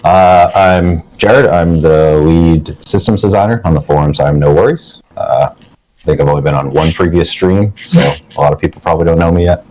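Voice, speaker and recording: A man with an extremely low, deep voice answering panel questions as a game designer. He speaks in a relaxed, thoughtful way, pausing with fillers as he works through his points. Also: low-quality recording. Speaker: lead systems designer.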